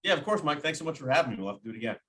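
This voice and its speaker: A man's voice: a little high, sing-song, rapid-fire, a bit bright. A man who works as a play-by-play announcer, giving casual sports analysis as a podcast guest.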